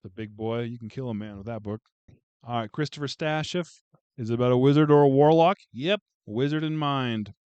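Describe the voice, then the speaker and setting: A man's voice: mid-range voice, fairly quick, a little mellow, smooth, no room sound, highs cut off. A man casually going through a book haul on a video, chatting and joking with viewers as he reads out titles. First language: English. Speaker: booktube vlogger.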